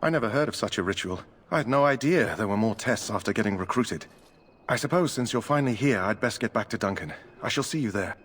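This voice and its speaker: An actor playing an earnest, courteous knight in a fantasy game, speaking formally. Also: male voice, lowish voice, lively intonation, fast, a bit bright, breathy edge. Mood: earnest, polite. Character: earnest, courteous knight eager to prove himself.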